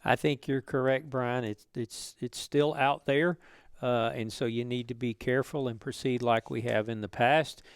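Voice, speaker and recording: A man speaks as a webinar panelist, explaining informally. His medium-pitched voice is clean and smooth, with very little room echo. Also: steady tone, moderate pace.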